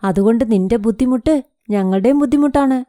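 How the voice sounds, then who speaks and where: A woman's voice: fairly high pitch, a little fast, mellow, dry recording, clean studio sound, lightly acted. A woman playing a kindly, reassuring middle-aged mother-in-law in a cartoon moral story.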